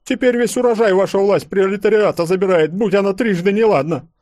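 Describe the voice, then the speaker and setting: A stout peasant woman, voiced by a man complains in a shrill, raised voice. She sounds indignant and fed up. The recording is dry. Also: fairly quick, darkish tone, dry recording, lightly acted.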